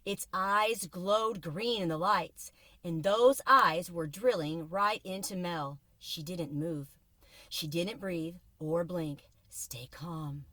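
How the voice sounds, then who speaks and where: A woman reading a children's book aloud from inside a car, with expressive, suspenseful dramatic narration like a teacher. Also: lowish voice, slow pace, dry recording. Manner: expressive, suspenseful storytelling with varied volume.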